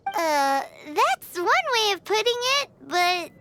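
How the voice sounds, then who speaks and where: A woman voicing a small, excitable, bossy fairy companion in a game dub, with a squeaky childlike voice. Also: takes it slowly, exaggerated voice. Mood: thoughtful. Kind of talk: English anime game dub.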